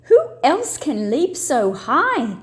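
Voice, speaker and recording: Woman acting out a storybook ballet director, female, commanding, emphatic, high pitch, animated intonation. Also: slow, full character voice. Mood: desperate. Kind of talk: children's storybook read-aloud.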